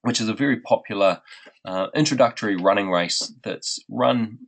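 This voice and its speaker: Low gravelly New Zealand man, blocked up. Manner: friendly, unhurried, with frequent ums and uhs. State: blocked up with a head cold. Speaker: running coach. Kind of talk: webinar presentation.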